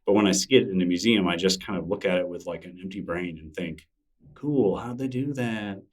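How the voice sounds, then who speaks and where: Low-voiced man, distant mic. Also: brisk. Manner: rambling, filler-heavy, enthusiastic. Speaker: interview guest. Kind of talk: casual conversation. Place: public library room with a conference nearby.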